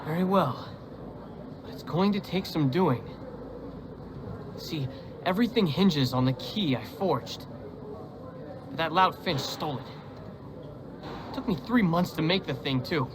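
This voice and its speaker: An actor playing a wry, conspiratorial prisoner and forger in a dramatic video game cutscene. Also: male voice, a little high, lively intonation, measured pace, pauses often. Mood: earnest, grumbling. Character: scheming, wry male prisoner, a forger plotting escape. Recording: clear background noise and ambience.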